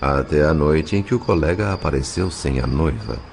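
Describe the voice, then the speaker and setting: A man with a Brazilian accent narrating an audio drama in dramatic, literary, measured phrasing. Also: extremely low pitch, lively intonation, vocal fry, a little mellow, faint music behind.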